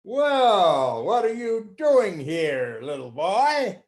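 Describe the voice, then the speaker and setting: A man doing a loud, bossy circus magician. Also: high voice, sing-song, extremely slow, pitched above the performer's own voice, exaggerated voice. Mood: sly. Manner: loud, domineering.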